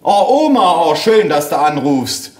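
A man reading a comic story, voicing an excitable, loud middle-aged mother who gushes and shouts. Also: on the high side, unhurried, pitched above the performer's own voice. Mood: gushing. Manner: gushing, shouting. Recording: roomy echo.

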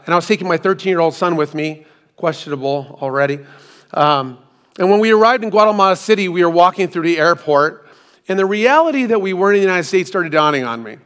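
Hoarse middle-aged man preaching. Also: on the high side, a bit bright. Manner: self-deprecating storytelling with asides. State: hoarse, recovering from lost voice. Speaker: pastor. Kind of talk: sermon. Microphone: hands-free microphone.

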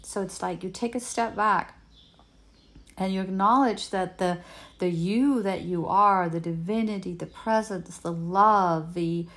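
A woman whose first language is Danish, giving a gentle, unhurried talk as a healing teacher, often repeating words as she searches for them. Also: mid-range voice, fairly even intonation. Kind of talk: reflective spoken talk.

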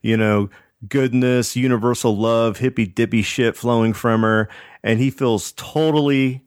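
A man's voice: medium pitch, fairly even intonation, a little slow, no room sound, clean studio sound. A man hosting a podcast, telling a story casually with jokey, slangy conversational commentary. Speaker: podcast host.

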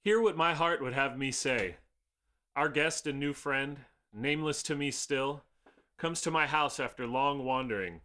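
An actor playing a gracious, commanding king in a theatrical audio drama, with stately, measured oratory. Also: medium pitch, pushed and bright. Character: commanding, gracious king addressing his captains. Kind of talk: theatrical classical verse drama.

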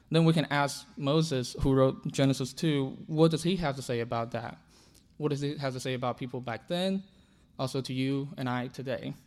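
Man preaching, English as second language. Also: on the high side. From China. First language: Chinese. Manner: earnest, with frequent restarts and repeated words. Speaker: pastor. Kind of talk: sermon.

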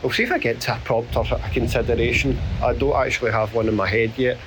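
A middle-aged Scottish man with a hoarse voice, hosting his podcast as a rambling spoken diary while walking on a beach. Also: medium pitch, fairly even intonation. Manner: rambling, self-deprecating, halting. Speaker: podcast host. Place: outdoors on a beach. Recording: outdoor recording with clear background noise.

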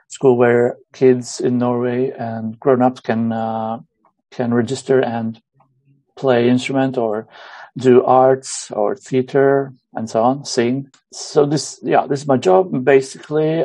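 Romanian-accented man, middle-aged, halting and searching for words, mellow tone, dry close sound. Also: mid-range voice, dry recording, low-quality recording. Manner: hesitant, many pauses and fillers. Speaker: guest musician. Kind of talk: casual interview.

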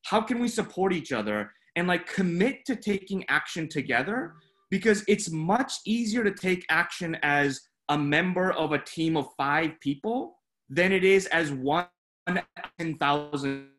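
A man's voice: a little high, lively intonation, a little slow, a bit bright. A man born in Japan and raised in the States, speaking earnestly as a panelist in a discussion, with frequent "like" fillers.

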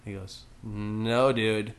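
A man's voice: on the low side, slow pace, slight background noise. A man from New Jersey, a guest on a casual podcast, telling a story and acting out the voices of the people in it. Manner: animated storyteller who acts out quoted voices.

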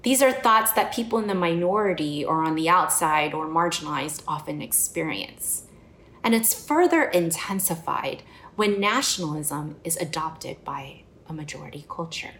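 Woman preacher, lowish voice, earnest and reflective, echoey room. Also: animated, moderate pace, fairly bright tone, slight background noise. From United States. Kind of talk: sermon.

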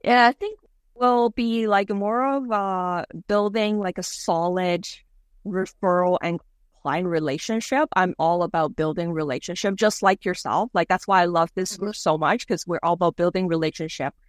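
Female interview guest, native Cantonese speaker, lower register, chatty delivery, close dry sound. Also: moderate pace, dry recording. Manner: chatty, frequent "like" and "you know". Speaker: interviewee, mortgage broker. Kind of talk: casual interview answers.

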